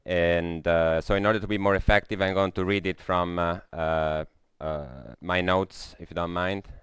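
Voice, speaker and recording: A young man with an Italian accent gives a technical talk in a lecture room, pausing often on "uh" and restarting his sentences. His voice sits low and deep and comes through smooth and clear. The sound is close and dry, with little room in it. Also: little pitch movement, a bit bright, dry recording. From Italy. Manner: hesitant, many fillers and restarts. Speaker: conference speaker.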